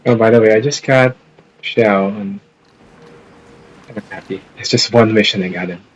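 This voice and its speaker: A man's voice: fairly low pitch, normal speed, husky, dull audio. A man doing casual live stream commentary on a video game, with offhand reactions and some game lines read aloud. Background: faint game music.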